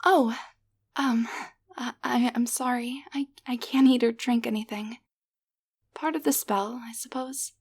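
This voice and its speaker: A woman playing a gentle, grateful young waitress turned into a tiny living doll, speaking softly and hesitantly in an intimate roleplay audio drama. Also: sits high. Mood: apologetic, wistful. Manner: soft, breathy, hesitant, tender delivery.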